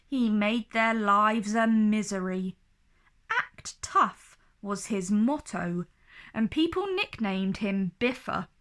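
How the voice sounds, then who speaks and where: A woman storyteller reading a children's book aloud in an expressive, deliberate and dramatic narrating style. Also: mid-range voice.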